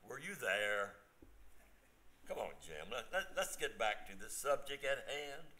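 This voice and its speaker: An older man playing a matter-of-fact, mildly exasperated family man in an amateur staged reading, with a halting, dry delivery. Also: medium pitch, lively intonation, bright, forceful tone, a little hiss or hum.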